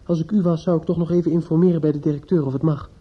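Husky, stammering distraught young man. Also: fairly high pitch, fairly even intonation, racing. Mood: nervous, hesitant. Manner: hesitant, stammering, agitated. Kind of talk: radio play acting. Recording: muffled old radio play recording.

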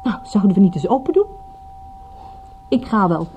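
A woman's voice: medium pitch, very animated, moderate pace, mellow, slight background noise. An actress playing a coy, teasing woman in a radio drama, playful and evasive, on a dull lo-fi recording. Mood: teasing, defiant.

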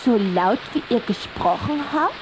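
High-voiced little vampire girl. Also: animated, measured pace. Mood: warm, sly. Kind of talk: children's radio play acting. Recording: noisy, rain-like background hiss.

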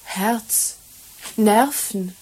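Busy chatty assistant in radio crime drama, bit husky, natural and open. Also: female voice, mid-range voice, extremely slow, a little mellow, poor audio. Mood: forthcoming. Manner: natural, conversational, forthcoming. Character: busy, helpful female assistant, chatty and frank.

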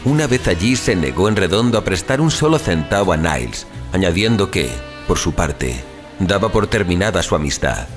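A man's voice: deep voice, very animated, normal speed, music in the background. A man narrating a scripted story in a dramatic audio fiction style.

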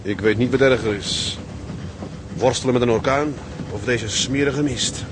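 An actor playing an earnest, weary young Dutch ship's officer in a radio drama. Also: male voice, mid-range voice, a little slow, natural voice. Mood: weary, grumbling. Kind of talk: radio drama acting with letter narration. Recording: very noisy, stormy ship sound effects.

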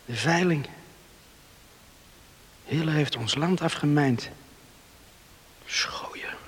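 An actor from a radio play, playing a hot-headed, befuddled young countryman, speaking in agitated, halting exclamations. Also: a little high, husky, exaggerated voice. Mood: groggy, hungover, dismayed. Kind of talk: radio drama acting. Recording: hissy old radio play recording.

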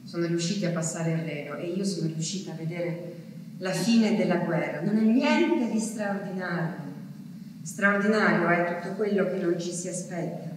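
A distant, heavily echoing hall carries an actress playing an anguished wife waiting for her husband to come back from war. She speaks her diary as a stage monologue, in short, deliberate fragments. The recording is noisy and far from the microphone. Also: lowish voice, close to an everyday voice. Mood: subdued, resolute. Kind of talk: dramatic theatrical diary monologue.

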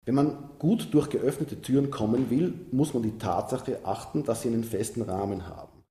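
A man's voice: medium pitch, moderate pace, warm tone. A man explaining as an interviewed expert, recorded indoors in a roomy, echoing space.

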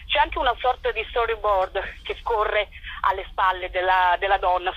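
A woman's voice: medium pitch, fairly bright tone, husky, no room sound, rough recording. A woman guest on a radio interview by telephone, explaining and retelling a story conversationally. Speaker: actress and playwright guest.

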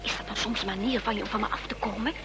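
A woman's voice: medium pitch, steady tone, racing, over loud music, lightly acted. An actress playing a feisty, indignant young woman in a radio play, protesting with exasperation.

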